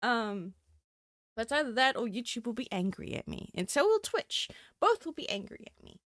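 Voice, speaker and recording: A woman's voice: on the high side, sing-song, moderate pace, fairly bright tone, no room sound, clean studio sound. Giggly, sniffly woman streamer. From Southern Hemisphere. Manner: chatty and giggly, many fillers. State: sniffly with a cold. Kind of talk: casual live-stream chat. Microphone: desk microphone.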